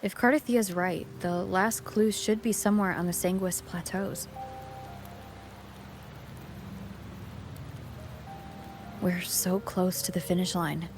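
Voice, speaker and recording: A woman voicing a thoughtful young champion adventurer in a video game, measured and earnest. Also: lowish voice, fairly even intonation, music in the background, natural voice. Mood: pensive. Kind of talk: naturalistic video game character acting.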